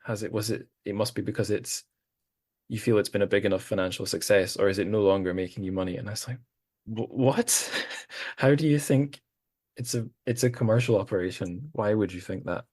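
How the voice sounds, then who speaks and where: A man's voice: on the low side, a little fast, a little mellow, breathy edge. A man hosting a podcast, chatting casually with guests, rambling and self-deprecating with many restarts. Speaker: podcast host. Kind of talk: casual group chat.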